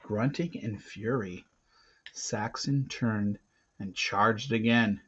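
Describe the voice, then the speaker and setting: A man reading an adventure novel aloud in a dramatic, emphatic way, swinging between hushed and loud delivery. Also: lowish voice, takes it slowly, husky. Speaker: reader of a children's adventure novel. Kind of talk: dramatic read-aloud narration. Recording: dull, lo-fi recording.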